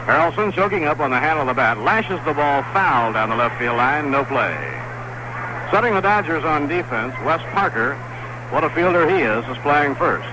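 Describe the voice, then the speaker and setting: A man doing play-by-play commentary of a baseball game on radio, steady and even with quick rises on plays. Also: a little high. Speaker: play-by-play announcer. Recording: noisy old 1960s radio broadcast.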